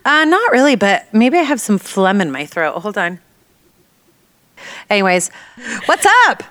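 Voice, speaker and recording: Playful woman podcast co-host, a bit nasal, lively up-and-down pitch, dry close sound. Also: unhurried, dry recording. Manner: giggly, playful. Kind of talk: casual podcast chat.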